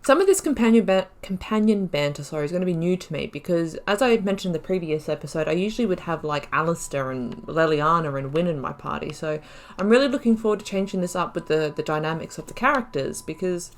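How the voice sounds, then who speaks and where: A woman gives casual running commentary as host of a game video. Her voice sits a little low for a woman, and she is chatty, dropping playful slang and asides as she plays. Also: animated, fast talker.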